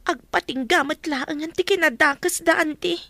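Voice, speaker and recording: A woman's voice: high voice, moderate pace, bright, forceful tone, no echo. A woman acting a wronged younger woman in an audio drama, hurt and insistent on justice. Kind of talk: Ilocano radio drama acting.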